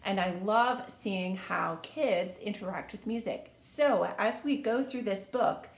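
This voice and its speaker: A woman reading a children's storybook aloud in an expressive, sing-song storytime manner, speaking to young listeners like a teacher. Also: mid-range voice, slow. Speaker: music teacher reading a picture book to children. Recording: echoey room.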